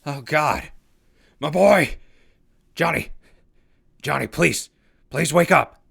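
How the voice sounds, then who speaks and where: An actor playing a weary but caring wasteland ghoul who hosts a radio show, talking in casual, sardonic asides in a radio drama. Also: male voice, a little high, lively intonation, slow pace, vocal fry, fairly bright tone, husky, dry recording, clean studio sound, exaggerated voice. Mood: desperate, emotional. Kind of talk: post-apocalyptic radio drama.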